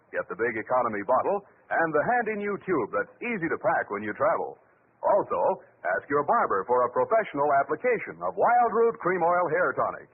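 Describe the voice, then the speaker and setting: Animated, tinny old-time radio announcer man. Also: a little high. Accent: American. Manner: polished salesman-like delivery. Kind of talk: 1940s radio announcing and sponsor reading. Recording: tinny, faintly noisy 1940s radio recording.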